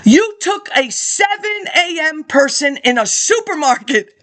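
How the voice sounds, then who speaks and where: A middle-aged woman with a high, bright-toned voice rambles on in a loose personal monologue. She sounds indignant, breaks into laughter often and jumps from one grievance to the next. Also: lively intonation, unhurried.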